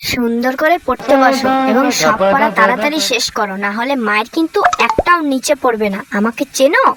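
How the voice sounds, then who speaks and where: A woman's voice: high voice, lively intonation, fairly quick, a loud crowd. A woman voicing bossy female figures in a cartoon, a stern mother and a haughty girl. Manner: scolding, bossy. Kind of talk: cartoon voice acting.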